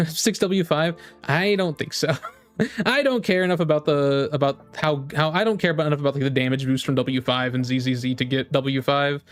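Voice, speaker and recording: A man's voice: a little high, normal speed, soft background music. A man streaming about games in a casual, rambling chat, often repeating his phrases as he talks to viewers. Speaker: game streamer.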